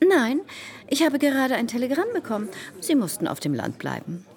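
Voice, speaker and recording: An actress playing a callous, self-justifying married salon hostess in a radio drama, theatrical and given to hushed confiding. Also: female voice, high-pitched, huge pitch swings, moderate pace, full character voice. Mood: brisk. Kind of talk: German radio drama acting.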